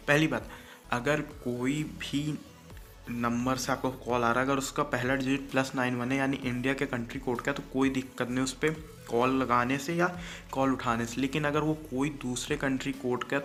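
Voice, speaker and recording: A man's voice: medium pitch, a bit bright. A man with an Indian accent talking casually to viewers as a vlogger, explaining things directly and mixing in English words.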